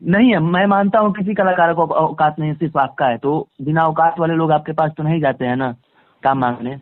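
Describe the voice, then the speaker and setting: A man's voice: a little high, a little fast, no echo. A man giving a conversational phone interview as a guest, emphatic and repeating phrases for stress. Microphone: phone line. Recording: tinny, band-limited phone call recording.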